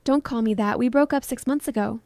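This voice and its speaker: Woman voicing a quiet, commanding teenage boy. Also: a little high, fairly quick, no room sound, close to an everyday voice. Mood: firm, protective. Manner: quiet, firm.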